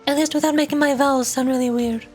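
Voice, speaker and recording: Female VTuber, high pitch, casual and playful with hesitant self-conscious delivery, music underneath. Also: steady tone, fast talker, darkish tone. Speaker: VTuber game streamer. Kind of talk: casual livestream chat while voicing game dialogue.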